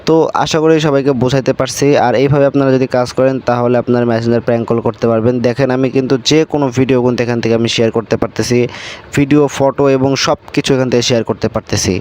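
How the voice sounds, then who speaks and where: Casting: male online tutorial host, very quick delivery, smooth clear tone, casual and instructional. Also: medium pitch, steady tone, darkish tone. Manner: repetitive, instructional, step-by-step. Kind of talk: casual screen-recording tutorial.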